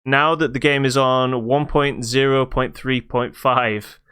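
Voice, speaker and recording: A man's voice: medium pitch, fairly even intonation, measured pace, fairly bright tone, smooth. A British man hosting a podcast, casually reviewing a video game in a hesitant, stop-start way.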